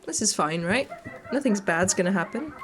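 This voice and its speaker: A woman's voice: medium pitch, expressive, normal speed. A woman hosting a gaming video, reading a horror story game aloud with unscripted reactions. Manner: sweary, unscripted asides. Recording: faint music behind the voice. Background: game music.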